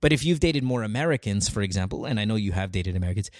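An animated podcast host chatting casually and swearing freely. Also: mid-range voice, racing, no echo, studio quality. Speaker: talk show host.

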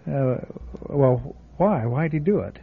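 A jittery stammering reporter, tinny radio. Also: male voice, medium pitch, lively intonation, takes it slowly, gravelly, slightly rough, heavily acted. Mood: uneasy. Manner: hesitant, stammering with uneasy fillers. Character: nervous young male traveling reporter, jittery. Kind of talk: old-time radio drama acting. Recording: phone-like old radio broadcast with faint music.

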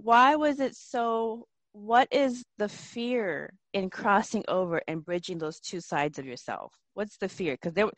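A woman hosting an interview, asking reflective questions in a relaxed, casual way. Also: mid-range voice, no room sound. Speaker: podcast host.

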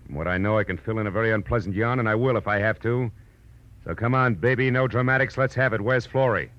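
An actor playing a tough, wisecracking American private detective in a 1940s radio noir drama, with clipped, sardonic delivery. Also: male voice, lowish voice, quick pace, no room sound, highs cut off, close to an everyday voice. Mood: hard, pressing. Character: hard-boiled, wisecracking male private detective, world-weary and tough. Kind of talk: 1940s radio noir acting and first-person narration.

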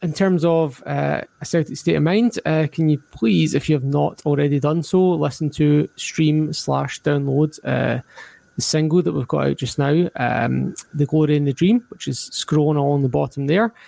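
Give me a gravelly, slightly high Scottish man running a casual football podcast. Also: moderate pace, dry recording. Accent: Scottish, mix of Glasgow and Highland. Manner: relaxed, frequent uh fillers, signing off the show. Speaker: podcast host. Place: hot room abroad, video call.

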